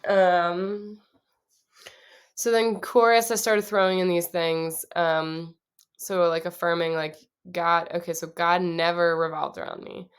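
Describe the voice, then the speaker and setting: A young woman with a low voice, talking through her songwriting process in a relaxed, casual way with many pauses. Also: clear, resonant tone. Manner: relaxed, thinking aloud with many ums.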